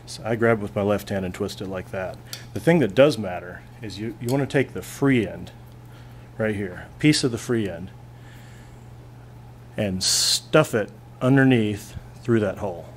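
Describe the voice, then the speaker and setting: Male teacher, low voice, relaxed step-by-step demo, faint room hum. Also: a little slow. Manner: relaxed, plainspoken, thinking aloud with restarts. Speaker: physics teacher. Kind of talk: hands-on classroom demonstration. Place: classroom lab bench, indoors.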